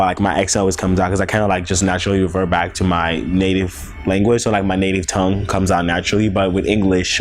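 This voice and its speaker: A young man from Nigeria with a Nigerian accent, Yoruba his first language, giving halting casual interview answers full of "like" fillers. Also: fairly low pitch, a little hiss or hum.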